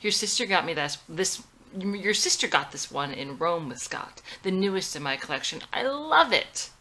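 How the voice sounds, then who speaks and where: A woman's voice: on the low side, very animated, normal speed, bright, forceful tone, heavily acted. A woman acting a proud middle-aged churchgoing mother in a drama reading, chatty and boastful.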